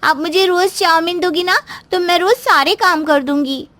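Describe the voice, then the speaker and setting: Woman voicing a high-pitched, childlike naive young woman. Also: moderate pace, fairly bright tone, no echo. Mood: eager. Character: naive, childlike young sister-in-law, sweet and pleading. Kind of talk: children's moral story voice acting.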